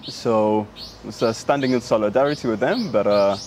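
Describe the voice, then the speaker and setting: A young man from Sudan answering interview questions in a thoughtful, halting way with many pauses and fillers. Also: fairly low pitch, a little mellow, slight background noise. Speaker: interviewee, human rights activist.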